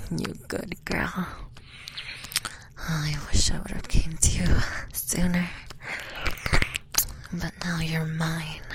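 A woman whispers in a soft, breathy, intimate roleplay. Her low voice drags slowly over every word, and a steady hiss runs under the recording. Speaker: audio roleplay performer.

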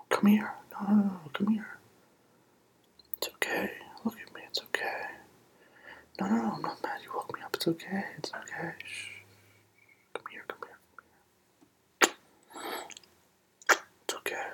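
A roleplay performer in a soft, soothing ASMR whisper, playing a comforting partner and repeating gentle reassurances. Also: medium pitch, fairly even intonation, normal speed, pauses often. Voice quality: breathy whisper.

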